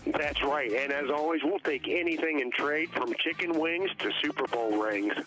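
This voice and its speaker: An American man delivering a car dealer's radio advertisement as a sales pitch. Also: moderate pace, fairly bright tone, rough recording. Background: music bed.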